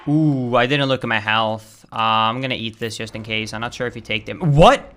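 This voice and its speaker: A young man with a Swedish accent, chatting casually and reacting loudly while he streams a game. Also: lowish voice, normal speed. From Sweden. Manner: casual, chatty, swears and flares up over game lag. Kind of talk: live-stream gameplay commentary.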